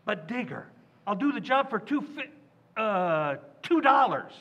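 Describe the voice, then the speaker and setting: A man's voice: high-pitched, big pitch swings, a little slow, bright, forceful tone, muffled recording, heavily acted. An actor playing a boastful, blustering middle-aged father in a 1940s-style radio comedy, brash and full of chuckles. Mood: pleading.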